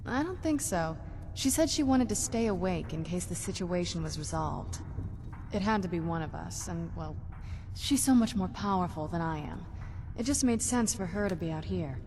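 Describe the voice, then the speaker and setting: A woman's voice: lowish voice, expressive, normal speed, darkish tone, slight background noise. An actress voicing a young vampire noblewoman in a video game, earnest and guarded, in a pondering, conversational way. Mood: thoughtful. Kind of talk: naturalistic video game voice acting.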